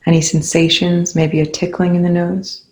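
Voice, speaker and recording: Meditation guide: soothing and unhurried, flat steady melody, medium pitch, leaves long pauses between gentle instructions.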